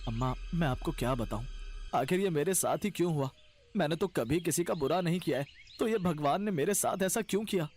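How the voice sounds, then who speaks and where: An actor playing a kind-hearted, troubled young farmer husband in a cartoon story, earnest and plaintive. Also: male voice, fairly high pitch, animated, brisk, darkish tone, faint music behind, lightly acted. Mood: distressed. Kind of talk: Hindi cartoon story acting.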